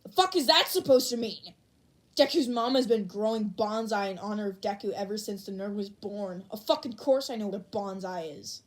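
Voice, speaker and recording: A woman's voice: medium pitch, moderate pace, natural voice. A woman voicing a foul-mouthed, irritable teenage boy in a fan fiction audiobook, with a blunt, snapping delivery. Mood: irritated.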